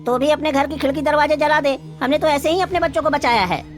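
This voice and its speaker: A woman's voice: high voice, quick pace, soft background music, voice pushed up, lightly acted. A woman voicing fretful villagers in a cartoon, complaining and scolding. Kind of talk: cartoon dialogue acting.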